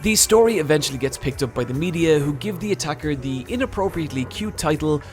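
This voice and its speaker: A man's voice: a little high, lively intonation, fast talker. A man narrating a scripted video essay, with dry, wry asides. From Britain. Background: soft background music.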